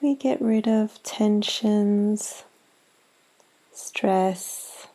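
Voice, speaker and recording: A woman leading a guided meditation in a gentle, soothing, unhurried manner with long pauses. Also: mid-range voice, poor audio. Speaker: yoga nidra meditation guide.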